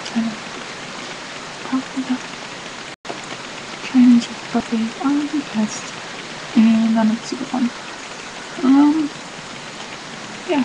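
A teenage girl vlogging from her bedroom into a laptop webcam, rambling and apologizing, full of ums and restarts. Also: on the high side. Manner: apologetic, rambling, many fillers. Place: small bedroom indoors. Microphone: laptop webcam mic. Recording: noisy webcam recording with hiss.

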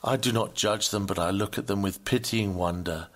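A man with a fairly low voice reads a Victorian sermon aloud. His delivery is oratorical and measured, with an earnest weight to the phrasing, as a preacher would address a congregation. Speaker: sermon reader.

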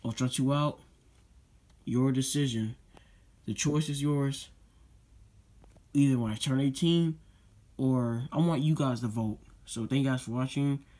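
A teenage boy hosts his own channel from a desk, talking loosely with lots of "um" and "guys". His voice sits at an ordinary middle pitch. He circles back to the same requests again and again. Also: measured pace, pauses often, warm tone. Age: teens. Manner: rambling, many fillers and repeated "guys". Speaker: YouTube channel host. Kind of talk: casual vlog unboxing talk.

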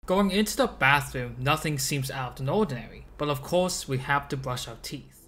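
A narrator reading a scripted video essay about a game in a measured, explanatory way. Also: mid-range voice, lively intonation. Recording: loud background music. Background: loud music.

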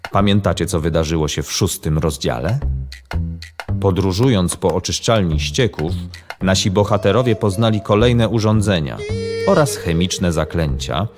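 A man narrating a children's audio drama in an expressive storytelling manner. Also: low-pitched, normal speed, music in the background.

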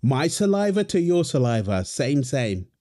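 A man's voice: mid-range voice, very animated, moderate pace, warm tone. A man performing a wholesome audio roleplay as a husband and dad, teasing his partner and cooing fondly at their baby with a playful manner.

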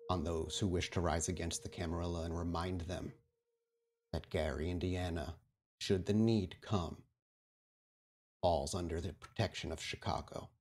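A man voicing a patient, menacing vampire prince in a tabletop game, speaking slowly and with measured authority. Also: deep voice, darkish tone, a little hiss or hum. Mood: calm menace. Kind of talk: in-character tabletop roleplay speech. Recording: music behind the voice.

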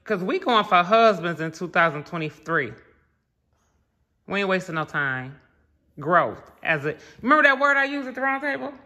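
A woman's voice: fairly low pitch, expressive, moderate pace, sharp tone. A middle-aged woman hosting a family vlog, bossy and blunt, teasing and grilling people in casual slang at home. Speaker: vlog host and mother.

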